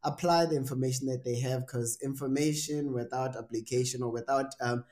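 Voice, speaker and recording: A man's voice: mid-range voice, steady tone, brisk, darkish tone. A young man hosting a podcast interview, thoughtful and rambling, with many fillers and restarts. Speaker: podcast host. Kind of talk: casual interview.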